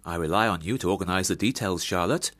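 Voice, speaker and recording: A man's voice: low voice, fast, a bit bright. A declamatory, pious Victorian father. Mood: brisk. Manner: declamatory, formal, sermonising. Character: proud, pious Victorian clergyman father, exclamatory and scripture-quoting. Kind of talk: radio drama acting.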